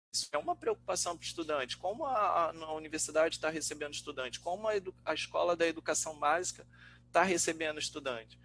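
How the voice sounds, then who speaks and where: Man, Brazilian accent, slightly high pitch, halting and reflective, informal webinar talk, faint noise and hum. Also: a bit bright. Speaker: researcher and teacher, webinar guest. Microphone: online webinar call. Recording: noisy webinar audio with hum.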